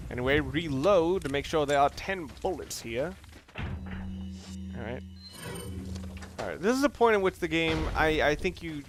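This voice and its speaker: A young man with a somewhat high voice rambles through his gameplay commentary. He restarts his sentences and curses when something catches him out. Steady noise sits under the recording. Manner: rambling, swears when startled, self-correcting. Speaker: let's play commentator. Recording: game sounds and noise behind voice.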